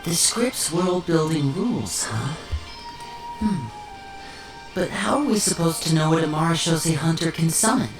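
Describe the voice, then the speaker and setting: A man's voice: a little high, animated. An actor playing a clever young man who thinks things through and plans ahead. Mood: thoughtful. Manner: thoughtful, measured, planning ahead. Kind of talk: game voice acting. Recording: distant echoey game audio with music.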